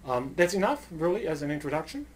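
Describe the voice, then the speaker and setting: A man's voice: a little high, big pitch swings, quick pace, slight background noise, low-quality recording. A middle-aged man from Germany with a German accent, a professor giving an earnest online course lecture with frequent fillers and restarts.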